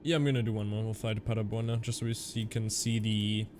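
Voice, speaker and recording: A man's voice: fairly low pitch, little pitch movement, fast, a little mellow, a little hiss or hum. Young man with a Canadian-German accent, streaming. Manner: chatty, reads chat aloud and answers viewers. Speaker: flight sim streamer. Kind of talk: casual live-stream commentary.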